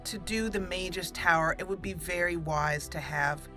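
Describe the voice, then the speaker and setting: A woman's voice: fairly low pitch, unhurried, sharp tone. A woman giving casual, chatty roleplay commentary on a video game, playfully thinking aloud. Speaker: gaming video host. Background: game music.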